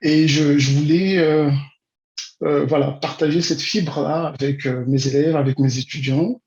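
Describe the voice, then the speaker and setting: A man speaks as an interview guest. His voice sits fairly high, and he picks his words slowly and hesitantly. The sound is muffled, with clear room echo. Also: fairly even intonation, a little mellow. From Congo-Brazzaville. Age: 40s. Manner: hesitant, measured, with long drawn-out pauses. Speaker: interview guest, immigration consultant and teacher. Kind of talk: conversational interview answers. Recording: dull, roomy, echoing sound.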